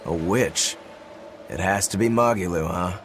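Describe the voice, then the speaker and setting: A man's voice: deep voice, a little slow, fairly bright tone, soft background music. A man voicing a wry, questioning companion to an outlaw in a video game. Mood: wary.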